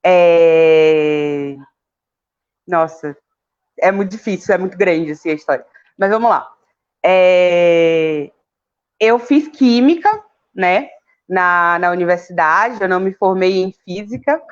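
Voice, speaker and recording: A woman's voice: lowish voice, slow pace, pauses often, smooth, muffled recording. A woman from Salvador, a chemistry graduate, telling her own story informally in a debate, with fillers. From Salvador, Brazil. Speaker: chemistry graduate and council candidate. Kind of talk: casual spoken life story in a debate.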